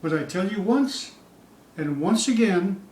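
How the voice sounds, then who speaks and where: A man giving a theatrical poetry recital, dramatic and suspenseful, with drawn-out phrases moving between hushed dips and loud peaks. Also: high-pitched, animated. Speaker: poetry reader. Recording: distant, echoey room with faint hiss.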